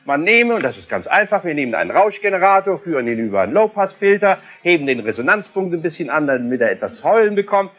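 A man's voice: fairly high pitch, very animated, fast talker, hardly any pauses. A man in his sixties from Germany presenting a TV demonstration of electronic music equipment, explaining with a showman's emphasis. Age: 60s. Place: indoor electronic music studio. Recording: dull lo-fi 1970s television tape.

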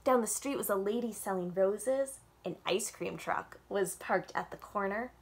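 Young female children's librarian, bubbly read-aloud storyteller, home webcam sound. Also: mid-range voice. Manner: animated and expressive, drawn-out storytelling. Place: home room with bookshelves. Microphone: webcam on a video call.

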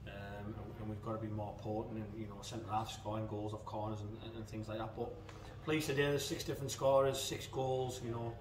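Man speaking flatly in an echoey room. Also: fairly low pitch, fairly quick, hardly any pauses, noisy background. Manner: hesitant, many fillers and restarts. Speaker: assistant football manager. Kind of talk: post-match interview. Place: indoors in front of a club sponsor backdrop. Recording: echoey room, mic at a distance.

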